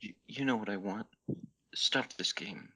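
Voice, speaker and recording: A man voicing a shy, embarrassed young lover in a fanfiction reading, stammering and pleading in a breathy voice. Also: on the low side, unhurried, sharp tone, rough recording. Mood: flustered, pleading.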